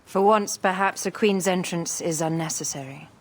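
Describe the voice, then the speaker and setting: An actress playing a proud, scornful exiled queen in a video game, with a regal, deliberate and cutting delivery. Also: fairly low pitch, close to an everyday voice. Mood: cool, scornful. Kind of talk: dramatic video game acting. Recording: reverberant hall, faint music.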